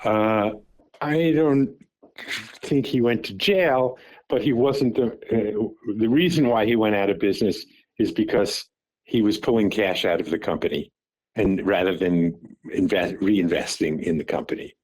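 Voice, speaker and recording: An older man in his seventies talks on a phone call, his voice sitting low. He recalls things in a halting way, repeating words and restarting his sentences as he searches his memory. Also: a little mellow. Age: 70s. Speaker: father recounting family business.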